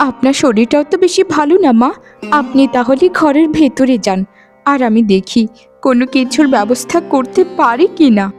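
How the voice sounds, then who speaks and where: A woman's voice: high-pitched, normal speed, a little mellow, faint music behind, natural voice. A woman voicing a long-suffering poor village wife and mother in a cartoon, plaintive and weary. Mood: weary complaint. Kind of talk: cartoon voice acting in a moral story.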